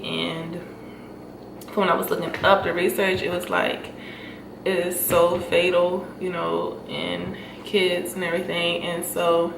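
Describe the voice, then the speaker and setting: Chatty young woman, stuffy and breathless. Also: lowish voice. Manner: chatty, lots of fillers and laughs. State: stuffy nose, short of breath. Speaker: vlogger. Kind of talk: casual chat to camera. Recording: echoey room, mic at a distance, noisy.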